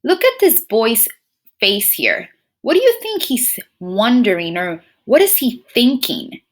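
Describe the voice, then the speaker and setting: Lady teacher doing storytime for little kids, kinda high voice, super singsongy up and down, asks them stuff. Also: measured pace. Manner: expressive, addresses listeners as friends and scholars, checking in with questions. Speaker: teacher reading a picture book aloud. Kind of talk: children's read-aloud with questions to young listeners.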